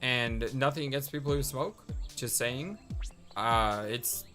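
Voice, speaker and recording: A woman's voice: a bass voice, measured pace, over music. A woman hosting a let's play, chatting casually and reading game dialogue aloud between personal asides. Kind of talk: casual gameplay commentary.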